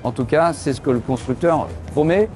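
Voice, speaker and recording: A middle-aged man, a motorcycle journalist, walking a trade show and explaining the bikes in a lively way. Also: on the high side, normal speed. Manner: lively, explanatory, tosses in fillers. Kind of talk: trade show walkthrough and interviews. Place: busy indoor exhibition hall. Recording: background music.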